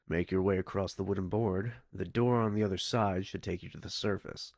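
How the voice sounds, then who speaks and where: A male headquarters dispatcher for a video game, with a lowish voice in a dry, close recording. He is bossy and easily exasperated. His briefings are deadpan and official, laced with goofy sarcasm. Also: dry recording.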